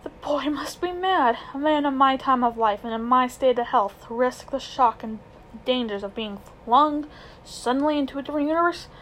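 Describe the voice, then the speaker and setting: A woman's voice: high voice, normal speed, fairly bright tone, a little hiss or hum, poor audio. A woman doing a pompous old magician uncle. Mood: indignant. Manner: haughty, lecturing, self-important. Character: pompous scheming elderly male magician uncle.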